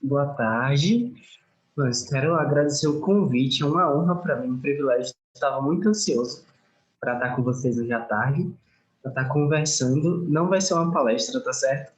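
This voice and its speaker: A man's voice: mid-range voice, moderate pace, low-quality recording. A young man with a Northeastern Brazilian accent, giving an informal health talk to a group as a guest speaker. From Alagoas, northeastern Brazil. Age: young adult.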